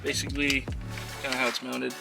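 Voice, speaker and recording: A man's voice: mid-range voice, monotone, brisk, creaky, loud music behind the voice. A man talking informally as he works through a hands-on installation, chatting to viewers in a casual how-to vlog style and thinking aloud. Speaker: vlogger and trucker showing a DIY install.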